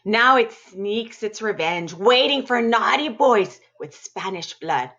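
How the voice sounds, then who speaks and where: A woman teacher reading aloud and chatting to her middle school students, with a playful, expressive delivery. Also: medium pitch, slow. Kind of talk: expressive read-aloud storytelling with casual asides to students. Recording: dull, muffled lo-fi audio.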